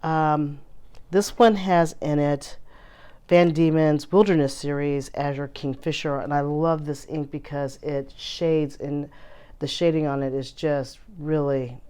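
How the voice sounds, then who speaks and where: A woman hosting a casual fountain pen vlog, her voice hoarse from a recent illness, chatty and full of filler ums as she shows her pens. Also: low-pitched, steady tone, a little slow, mellow. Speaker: fountain pen channel host. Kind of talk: casual show-and-tell vlog.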